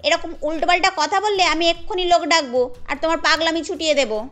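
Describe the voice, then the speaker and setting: A woman reading scripted storytelling narration for a cartoon. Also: high voice.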